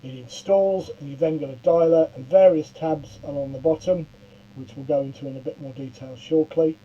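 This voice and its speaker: Very dark-voiced man, halting tutorial delivery. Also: fairly high pitch, fairly even intonation, clear, resonant tone, lo-fi sound. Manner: halting, often stammers and repeats words. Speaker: presenter of a software tutorial. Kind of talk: informal product demonstration.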